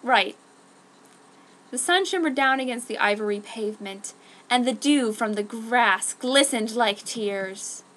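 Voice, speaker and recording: A woman's voice: a little high, unhurried, fairly bright tone, rough recording. A woman reading fan fiction aloud in an exaggerated, mock-serious dramatic style. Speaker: amateur fan fiction reader.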